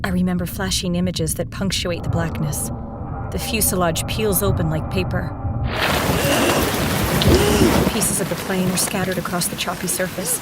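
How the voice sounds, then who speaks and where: An actress playing a haunted young nurse, narrating her ordeal gravely and vividly in a first-person audio drama. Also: lowish voice, steady tone, loud music behind the voice, close to an everyday voice. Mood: tense. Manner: grave, measured, vivid storytelling. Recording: storm noise loud behind the voice.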